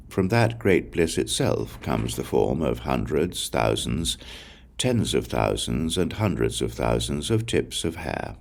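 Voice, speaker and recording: An extremely low-voiced man narrates a sacred text with a creaky, gravelly grain. His delivery is measured and solemn, set out with deliberate pauses, while his intonation moves widely up and down like a formal recitation. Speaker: audiobook reader. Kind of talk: scripted narration of a Buddhist scripture.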